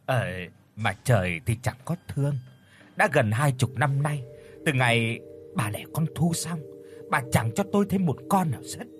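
A man's voice: mid-range voice, expressive, normal speed, soft background music, natural voice. A man voicing a lecherous, smug older village man who chuckles and hesitates, in a spoken storybook. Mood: hesitant. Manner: hesitant, stammering.

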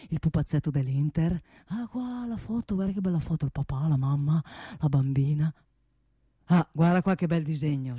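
Radio theatre: blustering, restless male industrialist, soft dark tone, dry close sound, bossy and repetitive. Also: low-pitched, dry recording. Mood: nostalgic, swaggering. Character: blustering wealthy male gun-factory boss, provincial, restless. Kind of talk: solo stage monologue for radio theatre.

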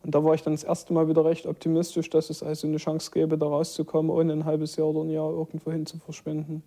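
Male witness, fairly high yet dark voice, reflective recollection. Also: completely flat delivery, fast. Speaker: former detainee, eyewitness. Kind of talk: spoken recollection.

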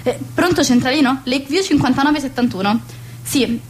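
A woman's voice: sits high, moderate pace, slight background noise, close to an everyday voice. An actress playing a fussy, bossy, social-climbing society mother in a radio play, with a theatrical, gushing manner. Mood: bossy, brisk.

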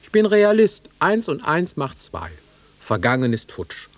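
A man's voice: a little high, wildly swooping pitch, measured pace, no room sound, exaggerated voice. An actor playing an awkward, sentimental middle-aged man in a German radio drama, self-deprecating and swinging between murmurs and outbursts. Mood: agitated, insistent.

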